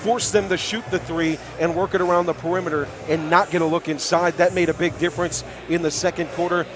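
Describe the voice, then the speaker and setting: A male sports announcer with a fairly high voice works a live basketball broadcast. He projects in an upbeat, chatty way, moving between play-by-play and game summary from a high school gym. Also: steady tone, a little fast, noisy background.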